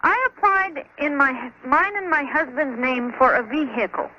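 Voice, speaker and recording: American female radio caller, high pitched, tentative and conversational, over a dull, slightly noisy telephone connection. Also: moderate pace, sharp tone. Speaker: caller to radio advice show. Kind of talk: casual phone conversation. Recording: muffled noisy phone line on radio.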